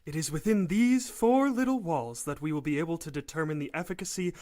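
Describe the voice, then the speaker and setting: An actor playing a persuasive professor who runs a research lab, polite and coaxing, in an audio drama. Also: male voice, fairly high pitch, a little fast, darkish tone, slight background noise, lightly acted. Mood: proud, eager. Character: smooth-talking, persuasive male professor running a research lab.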